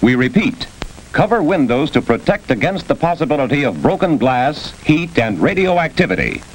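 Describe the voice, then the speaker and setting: High-voiced American emergency radio announcer. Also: male voice, big pitch swings, moderate pace. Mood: official, urgent. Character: urgent, official male civil defense radio announcer. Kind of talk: 1950s emergency radio bulletin. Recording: noisy old 1950s film soundtrack.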